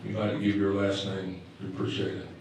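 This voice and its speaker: Man, low flat voice, polite meeting chair, noisy, distant mic. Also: fairly quick, very echoey. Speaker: board chair calling speakers. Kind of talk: meeting procedure.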